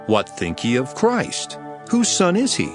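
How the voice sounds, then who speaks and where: A man's voice: medium pitch, wildly swooping pitch, measured pace, music in the background. An emphatic, authoritative male teacher. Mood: solemn teaching. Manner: solemn, emphatic, declamatory. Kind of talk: dramatized Bible reading.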